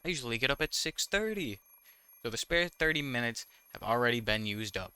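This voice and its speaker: A man's voice: mid-range voice, expressive, a little fast, pushed and bright, clean tone, dry recording. A man reading visual novel text aloud with casual, wry commentary, sometimes stumbling over the words. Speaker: gaming YouTuber, host.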